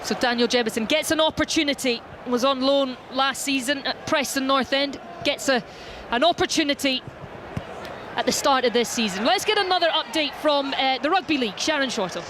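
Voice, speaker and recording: High-pitched live football commentator, noisy background. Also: brisk. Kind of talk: live radio sports commentary. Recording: clear ambient noise behind.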